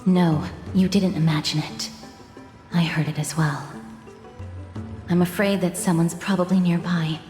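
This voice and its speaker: A woman playing a courteous, composed young companion in a video game, speaking in a polite, measured and formal way. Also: on the low side, steady tone, a little mellow, close to an everyday voice. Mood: cautious. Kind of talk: scripted video game dialogue. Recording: echoey reverberant hall, music behind.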